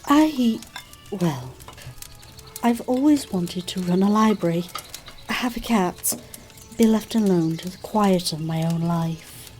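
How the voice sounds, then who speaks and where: A woman's voice: on the low side, lively intonation, slow pace, pauses often, darkish tone. Woman acting a modest hopeful lady in an audio drama, thoughtful, bit hesitant, faint music. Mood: tentative, hopeful. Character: gentle, modest, hopeful woman longing for quiet life. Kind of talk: scripted audio drama dialogue.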